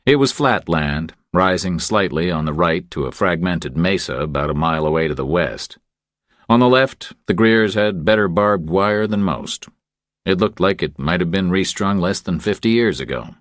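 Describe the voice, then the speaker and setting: A man narrates fiction from a script. His voice sits low, and the recording is dry, with no room sound around it. He reads in the third person as an audiobook narrator. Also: normal speed, dry recording.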